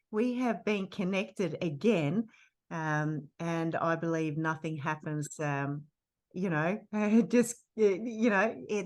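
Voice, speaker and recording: A woman with a low voice hosts a podcast interview. She talks in a casual, effusive way. Her speech is full of hesitations and restarts. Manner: hesitant, many fillers and restarts; warm, effusive praise of her guest. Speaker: podcast host.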